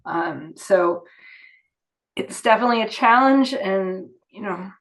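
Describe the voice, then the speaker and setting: A woman with a cold and a worn, hoarse voice, giving steady, measured remarks at a public meeting. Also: mid-range voice, rough recording. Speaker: project representative at a public meeting.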